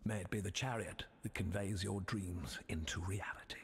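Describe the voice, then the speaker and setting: A man playing a pompous imperial scholar of arcane arts in a video game, ceremonious and formal in a hushed, husky voice. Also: low voice, normal speed, a little hiss or hum. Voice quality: whispery, husky. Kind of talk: scripted game dialogue, formal dedication.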